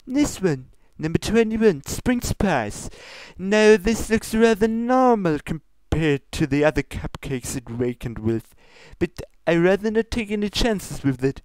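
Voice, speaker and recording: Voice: medium pitch, sing-song, measured pace, hardly any pauses, a little mellow, no echo, voice pushed up, rough recording. A reader voicing a haughty, regal pony princess with a British accent and a formal, indignant manner in a comedy fan fiction reading. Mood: wary.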